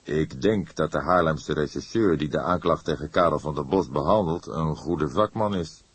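A man playing a seasoned, thoughtful older police inspector in an audiobook, speaking in a measured, deliberate way. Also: extremely low pitch, breathy edge, no room sound. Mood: reasoning. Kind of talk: audiobook character acting.

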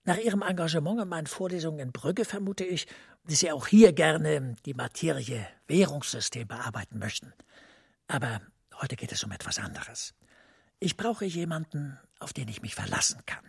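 An actor playing a middle-aged professor and senior official in a German radio drama, precise, measured and confidential, with a husky, breathy voice. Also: male voice, on the high side, lively intonation, no echo, clean studio sound, full character voice. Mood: discreet, controlled. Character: professor and senior EU official, composed, discreet, authoritative.

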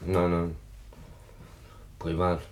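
A man's voice: deep voice, monotone, drawn-out words, darkish tone, slight background noise. An actor playing a dejected, brooding policeman, weary and grumbling with self-pity in a radio drama. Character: dejected, self-pitying male policeman, unlucky in love.

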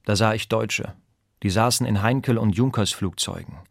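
A man with a slightly low voice reads literary prose from a script. The studio sound is close and free of echo. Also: steady tone, moderate pace, vocal fry. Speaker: voice actor reading quotations. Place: dry studio.

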